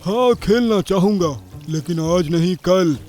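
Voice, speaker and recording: A man voicing a menacing, bossy bear with a deliberate delivery in a children's cartoon story. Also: high voice, animated, darkish tone, soft background music, voice pushed up. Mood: curious, domineering. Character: menacing male bear, slow, bossy bully. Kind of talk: Hindi children's animated story.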